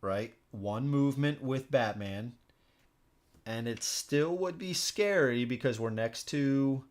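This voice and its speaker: A man with an average-pitched voice gives casual live commentary as he plays a board game. He thinks out loud in an informal way, often saying a phrase again as he works through his moves. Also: slow. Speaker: streamer hosting a solo board game playthrough. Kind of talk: casual live stream commentary while playing.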